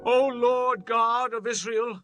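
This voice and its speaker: A man's voice: extremely high pitch, steady tone, takes it slowly, sharp tone, soft background music, heavily acted. A man playing an impassioned ancient Israelite in a dramatized scripture reading, declaiming in archaic biblical phrasing. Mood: anguished lament.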